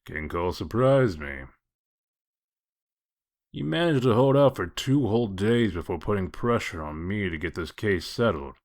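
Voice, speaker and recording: A gruff comic-book detective acted by a man with a low voice. He talks in a dry, wry, evasive way, sardonic and unhurried to explain himself. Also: expressive, darkish tone. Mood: dry, grumbling. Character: gruff, sardonic male detective of exiled fables. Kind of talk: comic dub character acting.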